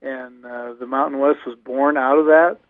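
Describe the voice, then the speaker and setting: A man calling in as a radio guest, talking about college football in a halting, low-key way with frequent restarts. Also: steady tone, no room sound. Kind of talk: casual radio interview over the phone. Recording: tinny phone line.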